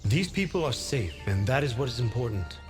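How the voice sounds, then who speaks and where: A man's voice: mid-range voice, big pitch swings, fairly quick, lightly acted. A man voicing the wise spirit of a talking sword in a video game, solemn and formal. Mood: solemn mentoring. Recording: music and rain behind the voice.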